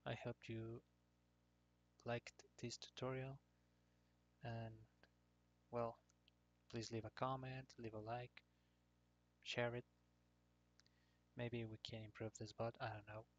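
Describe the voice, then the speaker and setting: A man narrating an informal software tutorial in short, hesitant phrases. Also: medium pitch, level tone, takes it slowly, long pauses. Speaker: tutorial presenter. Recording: dull lo-fi computer microphone.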